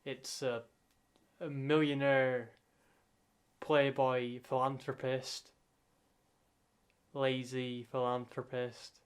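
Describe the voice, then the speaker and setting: A young man gives loose commentary as he reacts to a clip, often stopping to restart or correct himself. He talks at an unhurried, drawn-out pace, and his pitch sits in the middle. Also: level tone. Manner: rambling, halting, self-correcting asides. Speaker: reaction video host.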